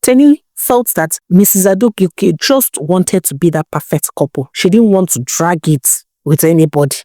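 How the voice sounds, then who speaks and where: A woman narrating a moral folktale as a storyteller, in an expressive, emphatic manner with rhetorical questions. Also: lowish voice, normal speed, no room sound, studio quality. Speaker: storyteller, channel host.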